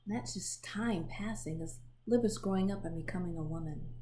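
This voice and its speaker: A woman reading a children's picture book aloud in an expressive storytelling manner, pausing to show the pictures. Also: fairly low pitch, slight background noise. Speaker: storybook reader.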